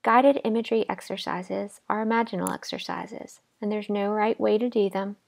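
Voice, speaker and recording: A woman leading a guided imagery relaxation exercise in a calm, gentle, unhurried manner with pauses. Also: mid-range voice, creaky. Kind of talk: guided imagery meditation narration.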